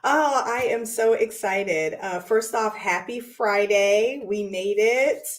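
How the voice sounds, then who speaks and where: A woman hosting her weekly show, hoarse and raspy from losing her voice, talking upbeat with lots of ums and restarts in a room by a window. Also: mid-range voice, slow pace. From United States. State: hoarse from lost voice. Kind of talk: casual talk to camera.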